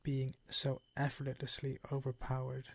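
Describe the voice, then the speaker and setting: Man playing a slow, gravelly vampire count. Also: mid-range voice, completely flat delivery. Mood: smug, amused. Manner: slow, ornate, condescending seductive purr. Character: suave, menacing older male vampire count, possessive and hungry. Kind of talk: ASMR roleplay monologue.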